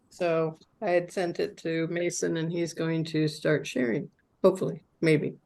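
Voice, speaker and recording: A woman's voice: lowish voice, measured pace, gravelly, mellow. Woman in a club meeting call, chatty, easygoing. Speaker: club member. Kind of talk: casual meeting chat. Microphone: video call.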